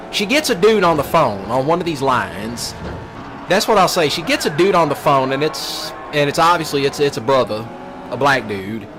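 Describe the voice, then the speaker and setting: Animated man rambling over noisy engine sounds. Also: a little high. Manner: repeats himself, addresses audience as y'all and lads. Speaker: livestreamer doing a let's play. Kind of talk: casual rambling rant over gameplay. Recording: noisy with car engine sounds, uneven volume. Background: car and vehicle sounds from racing game.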